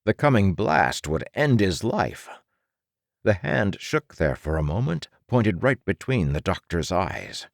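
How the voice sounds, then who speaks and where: Deep, dramatic male audiobook narrator. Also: very animated, measured pace, creaky, no room sound, studio quality.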